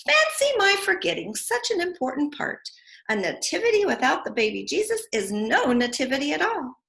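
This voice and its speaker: A woman's voice: high-pitched, very animated, gravelly, a bit bright, roomy sound, voice pushed up, heavily acted. A woman voicing a bossy, fussing female emu in a children's storybook reading. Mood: approving. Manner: bossy, brisk.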